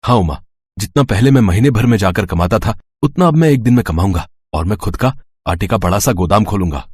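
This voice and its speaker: Man playing poor excitable village husband, cartoon story, low husky voice, dramatic exclaiming delivery, dry sound. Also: a little fast, no room sound. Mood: amazed, delighted.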